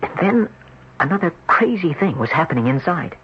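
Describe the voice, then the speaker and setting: A troubled, jealous man confesses his strange story with dramatic, lively swings of pitch. The sound is a dull, noisy vintage radio drama recording. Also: moderate pace, breathy edge, heavily acted. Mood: anguished. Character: anguished, jealous ex-surfer haunted inside a rich man's body. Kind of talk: old-time radio drama acting.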